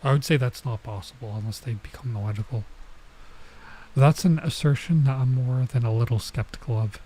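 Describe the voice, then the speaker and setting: A man's voice: medium pitch, warm tone, husky, low-quality recording. A man doing a let's play, reading a visual novel aloud and breaking off for casual side comments. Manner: stops to remark on and reread the text.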